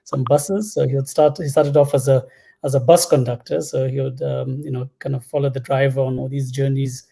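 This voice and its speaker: A man's voice: mid-range voice, flat delivery, fairly quick, vocal fry, mellow, breathy edge. A man in his thirties from Zambia, telling personal stories casually and hesitantly as an interview guest. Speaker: interview guest, product executive. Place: indoor room at home, on a video call.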